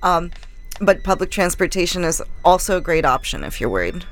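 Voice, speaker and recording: A woman visiting a radio studio as a guest pianist, explaining things in a clear, practical, reassuring way. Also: fairly low pitch, sing-song, fairly quick, slight background noise.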